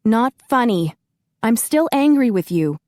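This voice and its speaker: A woman's voice: on the high side, big pitch swings, a little slow, warm tone, no echo, pitched above the performer's own voice. A woman narrator voicing an indignant young woman who scolds in a heated, exasperated way. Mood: angry.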